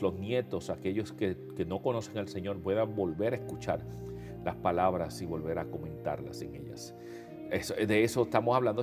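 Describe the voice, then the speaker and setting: A man in his fifties with a Puerto Rican accent, speaking as a pastor to his online viewers, sounding nasal after a nose procedure and talking in a relaxed, chatty way with many fillers. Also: deep voice. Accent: Puerto Rican Spanish. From Puerto Rico. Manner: warm, conversational, addresses viewers as beloved, frequent fillers. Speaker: pastor hosting an online devotional show. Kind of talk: casual devotional talk to viewers. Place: indoors before a holiday backdrop.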